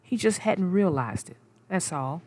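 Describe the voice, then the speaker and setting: A woman narrating a children's storybook aloud as host, expressive and sing-song, then chatting about the story. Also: lowish voice, measured pace.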